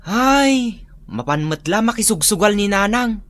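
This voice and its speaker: A woman's voice: medium pitch, animated, a little slow, no room sound, lightly acted. A woman playing an exasperated grown child with an Ilocano accent in a comedy radio drama. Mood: indignant. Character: exasperated grown child complaining about mother.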